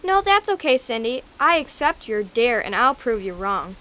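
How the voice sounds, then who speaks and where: A woman's voice: a little high, sing-song, moderate pace, pushed and bright. A young female performer playing a plucky, determined girl in an amateur radio drama. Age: child.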